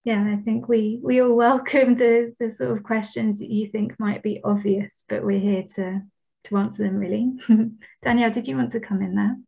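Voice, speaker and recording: Woman hosting a webinar, husky and a bit gravelly, flat delivery, dull call audio. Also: a little high, normal speed. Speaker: webinar moderator. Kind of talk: hosting an online panel.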